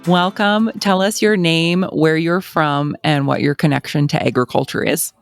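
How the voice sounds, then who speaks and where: A woman's voice: sits low, fairly even intonation, moderate pace, soft background music. A woman, speaking as a podcast host and interviewer.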